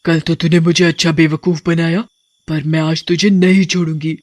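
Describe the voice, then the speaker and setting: A man voicing a menacing, hungry female witch in a cartoon story, with drawn-out threats. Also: fairly high pitch, level tone, a little mellow, no room sound, close to an everyday voice.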